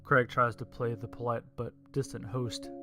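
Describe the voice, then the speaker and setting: A man's voice: medium pitch, little pitch movement, normal speed. A man reading a scripted story as its narrator. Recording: music behind the voice. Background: music.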